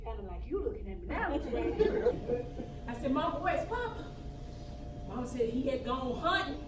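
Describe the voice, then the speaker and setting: Echoey far-off recording, woman playing a scared young country girl in a stage monologue, halting and haunted, voice swings all over. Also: expressive, over a noisy crowd. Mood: subdued, haunted. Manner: halting, repeating words, highly emotional storytelling. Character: frightened young country girl recounting an attack, haunted, defiant. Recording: echoey room, distant mic, faint noise.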